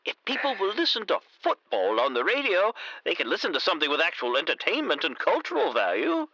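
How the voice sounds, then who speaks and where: A man's voice: high voice, wildly swooping pitch, fairly quick, sharp tone, no echo, dull audio, exaggerated voice. An actor playing a pompous, easily flustered stage magician in his sixties, a former English teacher, in a comedy audio drama. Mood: eager, flustered. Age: 60s.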